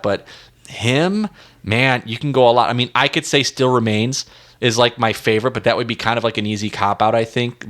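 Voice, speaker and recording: A man's voice: mid-range voice, a bit bright. A man co-hosting a casual music discussion, talking in a hesitant, self-deprecating, indecisive way. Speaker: music podcast co-host.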